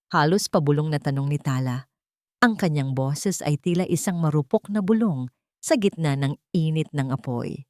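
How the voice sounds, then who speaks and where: Low-voiced woman narrating a drama, dry sound. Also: a little mellow, no room sound. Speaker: storyteller. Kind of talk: dramatic scripted story narration.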